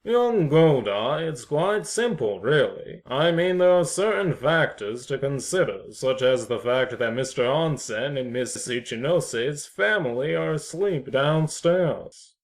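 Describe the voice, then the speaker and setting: Man acting a pompous, slow-talking genteel neighbour. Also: medium pitch, animated. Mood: smug, explanatory. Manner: pompous, drawn-out, mock-courteous. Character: suave, pompous, shameless male drinker neighbour, unflappably polite. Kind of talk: amateur audio play acting.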